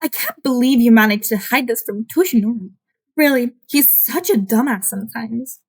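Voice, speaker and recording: A woman's voice: on the high side, expressive, normal speed, slightly rough. A woman voicing an angry, exasperated heroine and mentor who accuses, in an amateur audiobook. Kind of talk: fan fiction audiobook character voice.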